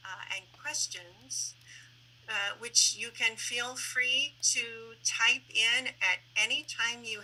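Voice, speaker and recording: Female moderator for an online panel, unhurried and organized, with a very bright, crisp edge. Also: mid-range voice, slight background noise, poor audio. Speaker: host and moderator, library staff member. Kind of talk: introducing a panel and asking questions. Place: online video call. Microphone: video call microphone.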